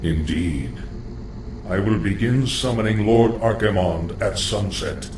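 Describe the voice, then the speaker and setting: A man playing a coldly patient, scholarly undead lich in a video game cinematic, speaking in a measured, formal, portentous manner. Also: fairly low pitch. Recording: echoing, with loud ambient noise behind.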